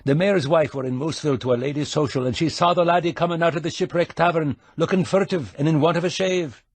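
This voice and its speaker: A man's voice: fairly high pitch, fast, dry recording, pitched above the performer's own voice. A man voicing a chatty, gossipy male shopkeeper with a put-on Scottish brogue. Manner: teasing, gossipy, rolling brogue.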